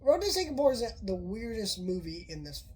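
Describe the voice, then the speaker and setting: A woman's voice: medium pitch, sing-song, brisk, a little mellow, breathy edge. A woman who is sick and sounds off, casually reviewing a movie in a rambling way with many fillers and restarts. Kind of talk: casual spoken film review.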